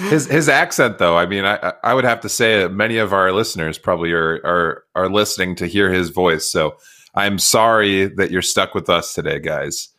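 A man with a deep voice co-hosting a casual sports podcast, easygoing and laughing often. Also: animated, measured pace, pushed and bright. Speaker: podcast co-host.

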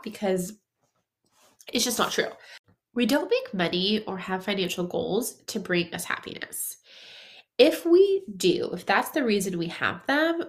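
A woman hosting a solo podcast who sounds nasal and stuffed up from a head cold. She talks in a chatty, upbeat way, coaching her listeners directly with casual fillers and restarts. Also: lowish voice. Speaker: podcast host.

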